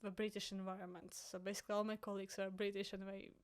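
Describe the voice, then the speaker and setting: A woman from Latvia with a Russian accent, speaking as a guest in an earnest, halting way. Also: medium pitch, flat delivery.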